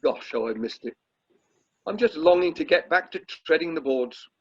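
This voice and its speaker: A man's voice: on the high side, animated, normal speed, a little mellow. An amateur actor playing a pompous, irritable middle-aged British drama society chairman in a radio play. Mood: wistful, grand. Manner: pompous, self-dramatising, exasperated. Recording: muffled, lo-fi video call audio.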